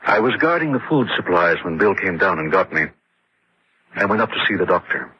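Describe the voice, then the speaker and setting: An actor playing a worried, skeptical husband with a rough, husky voice in an old radio horror drama. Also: male voice, low-pitched, very animated, fairly quick, highs cut off, heavily acted. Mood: sober recounting. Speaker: actor and first-person narrator in a radio drama.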